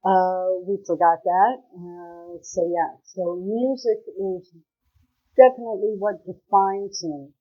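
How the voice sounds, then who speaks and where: A woman from Israel being interviewed, speaking hesitantly with many pauses. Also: steady tone, breathy edge. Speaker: guest, mother of a hostage. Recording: muffled low-quality call audio.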